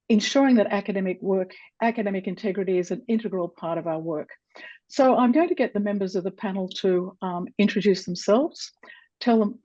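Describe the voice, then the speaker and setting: A woman's voice: medium pitch, warm tone, no echo. A woman hosting a webinar panel, introducing speakers and inviting questions over a video call, with some fillers. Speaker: webinar host, moderator. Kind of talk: moderating a webinar. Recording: dry, dull video call audio.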